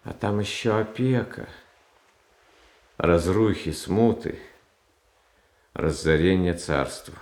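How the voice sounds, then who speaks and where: A gentle, naive Tsar in a classical radio tragedy. His voice sits fairly low and moves very slowly, with plaintive hesitations. He sounds frail and soft-hearted, in a roomy, echoing acoustic. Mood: wounded resignation. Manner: halting, plaintive, hesitant phrasing. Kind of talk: classical Russian stage tragedy in radio adaptation.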